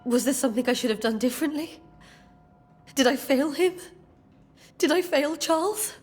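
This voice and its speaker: A gentle woman in mourning acted for a game, earnest and plaintive. Her voice sits fairly high, with faint music behind it. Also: normal speed, close to an everyday voice. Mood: anguished, grieving. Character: grieving, gentle woman in denial over a death. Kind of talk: dramatic game acting.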